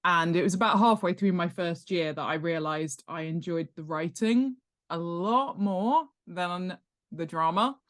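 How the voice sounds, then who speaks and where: A woman's voice: fairly low pitch, measured pace. A woman in her forties chatting casually as a podcast guest, with a playful, joking manner. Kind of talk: casual interview chat.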